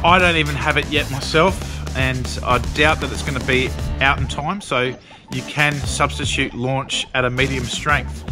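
A middle-aged man, hosting a lawn care vlog outdoors in a sunny backyard and explaining his plans step by step, filmed on an action camera held at arm's length. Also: mid-range voice. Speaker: lawn care channel host. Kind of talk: casual how-to vlog talk. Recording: music playing underneath.

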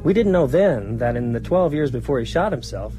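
An actor as a weary, halting man recounting horrors. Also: medium pitch, animated, dark tone, close to an everyday voice. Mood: grave, reflective. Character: weary man recounting a fight against vampires. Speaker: narrator telling his story to a priest. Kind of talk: radio drama acting, confessional narration. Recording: radio drama with music bed.

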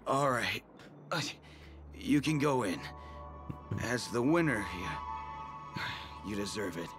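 An actor playing a washed-up, middle-aged male security guard in a video game, theatrical and melodramatic, with drawn-out delivery. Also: medium pitch, expressive. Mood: defeated, wistful. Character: washed-up male security guard, moods swinging from glum to manic. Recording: game music under the voice.

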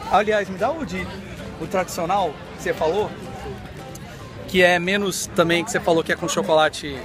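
A Brazilian man in his thirties, hosting a chatty, excited vlog, recorded on a phone held at arm's length outdoors in a city park. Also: sits high. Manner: chatty, excited, lots of "né?" tags. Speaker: vlogger host. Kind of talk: upbeat vlog chat.

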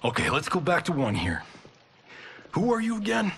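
A man's voice: sits high, wildly swooping pitch, normal speed, gravelly, fairly bright tone, slight background noise, full character voice. An actor playing a cocky, wisecracking male movie star in a video game cutscene. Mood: puzzled. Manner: wisecracking, boastful. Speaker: action film star.

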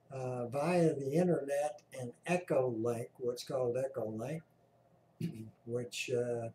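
A man giving casual explanatory commentary as a radio hobbyist, halting and often clearing his throat. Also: a little high, warm tone. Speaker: amateur radio operator, narrator. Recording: roomy echo, clear background noise.

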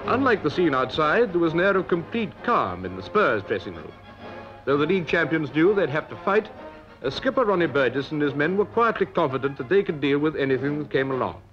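A British man narrates a 1950s newsreel in a slightly high voice. He reads as a scripted reporter, with clear music behind him on a dull, vintage soundtrack. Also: sing-song, fairly quick.

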